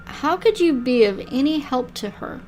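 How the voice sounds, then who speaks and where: A woman's voice: sits high, animated, moderate pace, darkish tone, soft background music, poor audio. An older woman hosting a gaming video, casually reading a visual novel aloud like a storybook. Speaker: gaming video host and reader.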